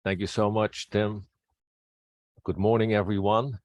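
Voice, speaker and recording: A middle-aged man with a Dutch accent speaks in a low voice. He is a native Dutch speaker presenting a personal introduction to a group. The recording is dry, with little room sound. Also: level tone, unhurried, darkish tone, dry recording. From Netherlands. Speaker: presenter, district governor-elect and pastor.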